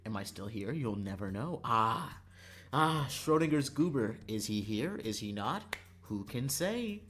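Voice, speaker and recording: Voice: mid-range voice, expressive, measured pace. A young adult streamer chatting casually over a visual novel in a playful, theatrical way. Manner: theatrical, playful, bursts into yelling and laughter. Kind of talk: casual livestream chat and visual novel read-aloud. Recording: music behind the voice.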